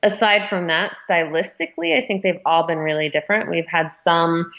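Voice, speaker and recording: A woman's voice: fairly low pitch, normal speed, gravelly, fairly bright tone. A woman speaking as an interviewed magazine editor, explaining things in an informal, conversational way with some hedging. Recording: dull, muffled lo-fi audio.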